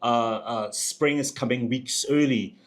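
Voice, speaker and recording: A man's voice: mid-range voice, unhurried. A man hosting an interview, asking long conversational questions and searching for words with fillers. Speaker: host.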